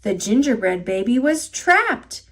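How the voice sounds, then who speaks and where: A woman with an American accent reading a children's story aloud in an expressive, deliberate manner. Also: fairly high pitch. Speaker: storybook reader.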